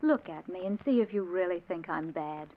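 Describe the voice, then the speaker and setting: A woman's voice: fairly low pitch, animated, lightly acted. Woman acting a mysterious siren, tinny old radio. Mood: gently pleading. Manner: measured, enigmatic.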